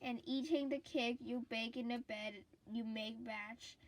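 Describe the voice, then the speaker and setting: A woman with a high voice reads a children's story aloud. She takes her time over the words, very slowly and deliberately, giving the narration an expressive shape as a storyteller would. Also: no echo, poor audio.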